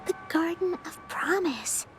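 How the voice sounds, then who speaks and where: Game role: a gentle small girl, high voice, wistful and earnest, music underneath. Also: lively intonation, a little slow. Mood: solemn, storylike. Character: wistful, gentle little girl who misses her mother. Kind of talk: video game character acting. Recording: music behind the voice.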